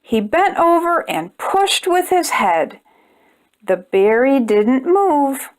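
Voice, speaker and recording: A woman's voice: high voice, sing-song, slow pace. A woman hosting a children's storytime, reading aloud expressively and speaking directly to young listeners. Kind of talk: children's read-aloud narration.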